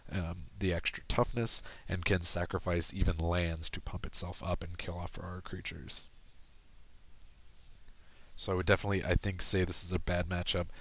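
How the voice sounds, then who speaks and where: A man commenting casually on his own recorded card game, explaining plays steadily with frequent ums and self-corrections, his voice a little worn. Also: low-pitched. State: losing his voice. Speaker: gameplay commentator.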